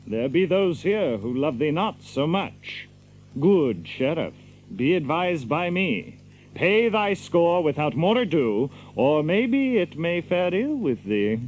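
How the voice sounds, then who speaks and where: A bold, mocking outlaw leader in a medieval audio adventure. His voice is fairly high and swoops expressively as he teases with grand mock courtesy in archaic English. Faint noise sits behind him. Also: poor audio. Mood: mock courteous. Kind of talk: audio drama acting.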